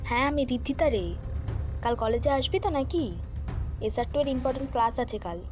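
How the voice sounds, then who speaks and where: High-voiced young college girlfriend, played by a woman. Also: a little fast, darkish tone, faint music behind, lightly acted. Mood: casual, inquiring. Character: chatty young female college friend on phone. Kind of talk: Bengali audio drama acting.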